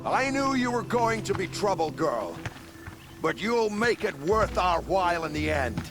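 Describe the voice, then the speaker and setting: A smug villain of rank in a fantasy game. His high male voice swings widely as he taunts, with music faintly behind. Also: very animated, unhurried, a bit bright, heavily acted. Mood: gloating. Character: menacing, smug villainous prefect.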